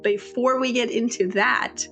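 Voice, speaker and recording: A young woman with a sore throat and a raspy voice, chatting through a casual voiceover for her game-building video, apologetic and full of restarts. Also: a little high, fairly even intonation, a little slow, fairly bright tone. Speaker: YouTube creator. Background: faint background music.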